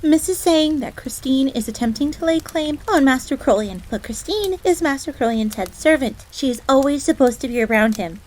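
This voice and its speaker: A high-voiced fox maid in an audio roleplay. She is a proud, jealous tsundere servant, quick to get indignant and flustered, yet lowly in her own eyes. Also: lively intonation, a little mellow, a little hiss or hum, rough recording. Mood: defensive. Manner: indignant, stammering when flustered, refers to herself in third person.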